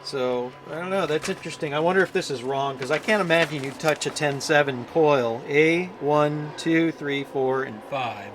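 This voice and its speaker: A man giving a casual, unscripted repair walkthrough, plainspoken and thinking aloud with pauses as he works. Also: on the high side, noisy background. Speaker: hobbyist radio repairer presenting his channel.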